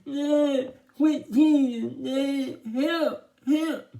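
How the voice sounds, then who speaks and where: A man's voice: extremely high pitch, fairly even intonation, drawn-out words, creaky, dark tone, pitched above the performer's own voice, heavily acted. A man voicing a panicky older boy who whines and pleads for help. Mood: panicked, pleading. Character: frantic whining older boy, stuck and begging for help.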